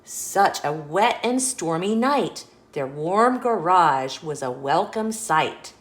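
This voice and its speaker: A woman reading a children's picture book aloud as an expressive, measured storyteller. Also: on the low side. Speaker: storyteller, host of a children's read-along show. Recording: roomy echo.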